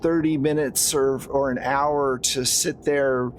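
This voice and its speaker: A man's voice: medium pitch, fairly even intonation, slow pace. Male game master, noisy background. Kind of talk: narrating a horror tabletop roleplaying game. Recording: clear background noise.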